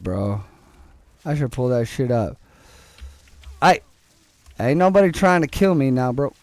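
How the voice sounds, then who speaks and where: Slow-talking young man with a low voice. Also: a little mellow, no echo. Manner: rambling, profane, joking, talks to chat and to the game. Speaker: gaming streamer. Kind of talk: casual gameplay commentary.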